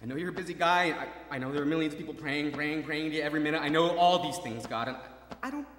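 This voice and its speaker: An actor playing a gravely wounded young soldier in a stage drama, halting, repetitive and pleading, moving between murmurs and cries. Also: male voice, a little high, a bit bright, close to an everyday voice. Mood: praying, pleading. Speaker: actor in a stage adaptation of a radio play. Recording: roomy hall, distant mic, faint noise.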